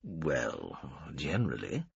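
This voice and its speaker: A man's voice: very deep voice, wildly swooping pitch, takes it slowly, vocal fry, breathy edge, no room sound, highs cut off, voice pushed down, exaggerated voice. A man voicing a jaded, skeptical man with a dry delivery.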